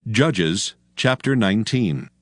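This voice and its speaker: Animated, lowish-voiced male scripture narrator. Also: slow, no room sound, studio quality, faint music behind.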